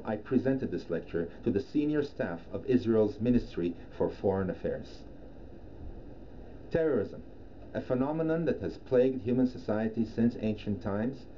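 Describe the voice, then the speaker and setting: A middle-aged man from Iran, a native Persian speaker, giving a formal, measured scripted presentation as a professional lecturer. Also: medium pitch. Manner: formal, measured, deliberate phrasing. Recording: dull lo-fi sound, clear background noise.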